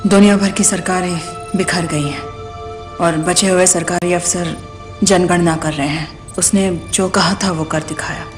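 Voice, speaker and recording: A woman acting in a film dub as a somber superhero, giving a grim briefing in a grave, deliberate manner. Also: on the low side, fairly even intonation, lightly acted. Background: ominous music.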